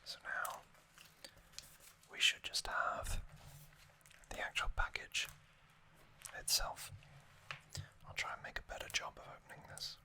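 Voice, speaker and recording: A man hosting an ASMR unboxing, speaking in a breathy whisper, gently and unhurriedly in short phrases with pauses. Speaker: ASMR video host.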